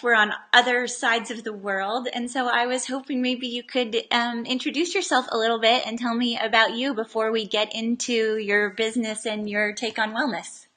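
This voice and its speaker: A woman hosting an interview over a call, warmly appreciative, with short encouraging replies. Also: a little high, fairly even intonation, moderate pace. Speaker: interview host.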